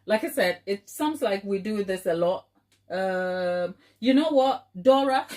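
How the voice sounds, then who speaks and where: A woman's voice: mid-range voice, animated, measured pace, darkish tone. A woman with a Ghanaian accent hosting a casual live chat, affectionate and playful, often repeating phrases for emphasis. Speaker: baking livestream host.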